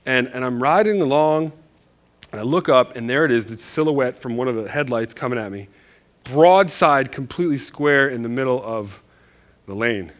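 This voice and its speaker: A man from Northern Virginia preaching in a church, telling a story in a loose, animated, self-deprecating way with lots of restarts. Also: medium pitch, normal speed. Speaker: pastor. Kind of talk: casual storytelling sermon.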